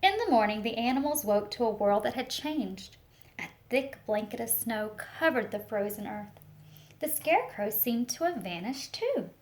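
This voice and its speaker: A woman reading a picture book aloud to young children, expressive and speaking to them directly with teacher-like questions and asides. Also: a little high, normal speed. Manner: expressive storytelling, talks directly to young listeners.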